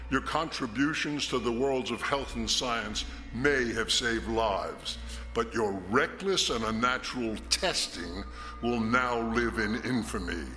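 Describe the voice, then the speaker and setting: An actor playing a stern, menacing man of authority in a prison drama, with a forceful, condemning delivery. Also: medium pitch. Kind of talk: dramatic scripted film acting.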